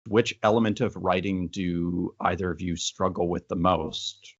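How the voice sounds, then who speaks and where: Deep-voiced man, dry dull recording. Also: steady tone, a little slow, no echo. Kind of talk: casual reflective conversation.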